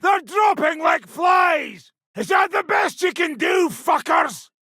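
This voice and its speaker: An actor playing a coarse, combative medieval mercenary commander in a video game, with a gravelly, raspy voice and a blunt, profane, gruff manner. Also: male voice, extremely high pitch, expressive, slow pace, sharp tone, no echo, raised voice, studio quality, heavily acted. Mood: battle fury. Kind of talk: video game character acting.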